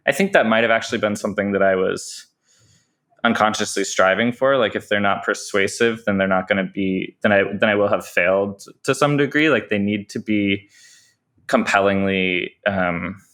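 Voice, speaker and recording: A man's voice: on the low side, fairly bright tone. A man speaking as a playwright in a thoughtful interview, hesitant and often correcting himself as he searches for phrasing.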